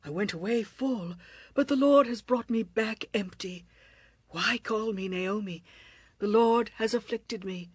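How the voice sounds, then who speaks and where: An actress playing an older widowed mother-in-law, husky, sorrowful and pleading, in an audio Bible drama. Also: mid-range voice, unhurried, a little mellow, no echo. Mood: bitter.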